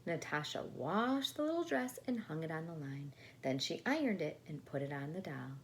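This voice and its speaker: A woman reading a picture book aloud to children in an expressive storytelling style. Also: low voice, moderate pace. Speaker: storybook reader. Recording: faint background noise.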